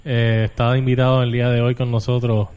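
A man's voice: medium pitch, little pitch movement, brisk, clean tone, muffled recording. A man co-hosting a podcast in casual, teasing banter. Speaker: podcast co-host.

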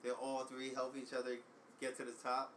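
A man's voice: medium pitch, monotone, moderate pace, fairly bright tone, a little hiss or hum. A man co-hosting a casual chat, adding wry, profane remarks. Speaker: podcast co-host.

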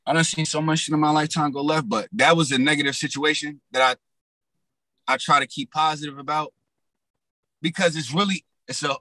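A raspy young man telling his story in loose, slang-heavy casual talk as a rapper guest. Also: a little high, fairly quick, pushed and bright, no room sound, poor audio.

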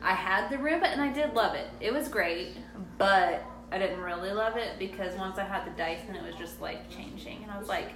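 A woman's voice: medium pitch, brisk, echoey room, a crowd in the background. A woman in her thirties chatting casually in a home kitchen, rambling and laughing often. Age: 30s. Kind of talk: casual chat.

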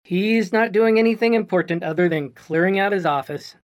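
A woman's voice: medium pitch, moderate pace, dry recording, lightly acted. A cheeky, boyish young tech worker who teases in a lilting tone, as a woman narrator reads him. The voice stays close to the reader's own. The recording is dry. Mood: teasing. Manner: teasing, enthusiastic, lilting.

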